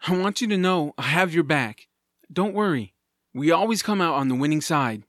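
A man's voice: fairly high pitch, lively intonation, moderate pace, a bit bright, no echo, clean studio sound. A man playing an earnest, loyal, eager young adventurer in a family audio drama. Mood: encouraging.

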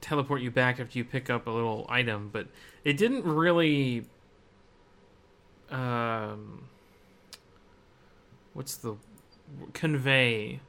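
Medium-pitched man in casual gaming chat. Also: slow pace, creaky, pauses often, fairly bright tone. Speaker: podcast co-host. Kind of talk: casual video game chat.

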